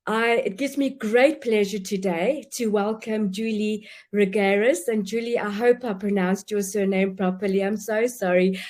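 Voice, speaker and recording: A woman's voice: mid-range voice, fairly even intonation, unhurried, hardly any pauses. Effusive woman hosting a webinar. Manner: warm, effusive, many restarts. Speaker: webinar host. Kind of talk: event introduction.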